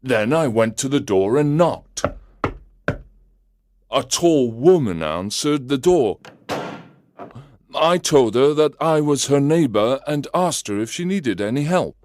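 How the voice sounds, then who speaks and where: A man narrating a first-person story in a slow, deliberate, carefully enunciated way, as if for language learners. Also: medium pitch, expressive, darkish tone, slight background noise.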